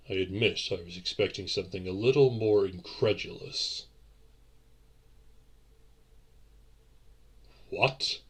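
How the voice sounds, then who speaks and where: A man playing a courtly, centuries-old vampire boyfriend in a romantic audio roleplay, speaking in formal, old-fashioned, deliberate phrases. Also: fairly low pitch, breathy edge, full character voice. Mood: curious, surprised.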